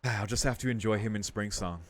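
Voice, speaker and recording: Low-voiced man doing game commentary. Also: fairly quick, a little hiss or hum. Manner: informal, thinking aloud. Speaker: let's play streamer. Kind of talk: casual game commentary and reading aloud. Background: game music.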